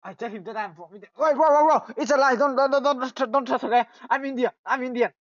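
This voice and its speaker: A young man with a Russian accent, hosting a casual joking chat on a voice call, stammering and excitable. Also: very high-pitched, fast talker, no room sound, highs cut off. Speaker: channel host. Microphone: phone on a voice call.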